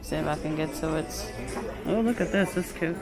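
Low-voiced woman filming a store tour. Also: moderate pace. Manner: chatty, keeps calling things cute, reads prices aloud. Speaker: shopping vlogger. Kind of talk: casual shop-with-me narration. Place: inside a large home goods store. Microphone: handheld phone camera. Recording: store recording with music playing behind.

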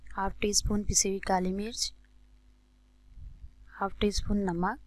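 A woman with a Pakistani accent narrates a recipe tutorial as a cooking host, in a measured, instructional way. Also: mid-range voice, little pitch movement, dry recording.

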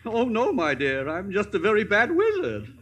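Humbug wizard: older, blustering, kindly, high bright voice, grandiloquent radio-play delivery. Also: male voice, huge pitch swings, normal speed, exaggerated voice. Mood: pompous, kindly. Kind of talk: 1950s radio drama acting.

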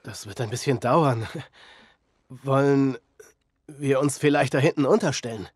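An actor playing a calm middle-aged survivor leader in a German game dub, softly persuasive and measured, soothing yet menacing. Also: male voice, mid-range voice, slightly rough, no echo, studio quality. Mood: friendly, coaxing.

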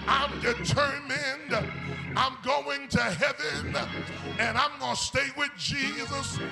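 A man's voice: very high voice, fairly even intonation, takes it slowly, vocal fry, over loud music. An older man preaching a sermon, chanting and whooping, calling on the congregation to answer back. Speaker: pastor. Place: church sanctuary.

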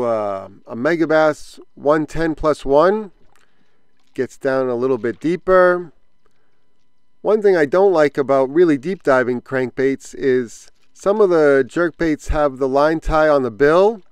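A man's voice: a little high, takes it slowly, smooth, dry recording. Man explaining fishing from a boat. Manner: relaxed explanatory, thinking aloud with fillers. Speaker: fishing channel host. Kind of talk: casual outdoor fishing vlog. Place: small boat on a pond outdoors. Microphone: action camera on the boat.

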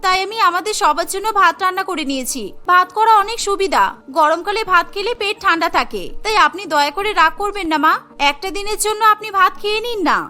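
Woman doing a very high-pitched young daughter-in-law. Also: a little fast, pushed and bright. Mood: pleading. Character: naive, dutiful young daughter-in-law, aggrieved but polite. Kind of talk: cartoon voice acting.

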